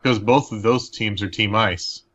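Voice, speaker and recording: A man's voice: on the low side, unhurried, fairly bright tone, lo-fi sound. A man from Texas chatting casually on a podcast in a playful, joking manner. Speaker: podcast panelist. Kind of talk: casual chat.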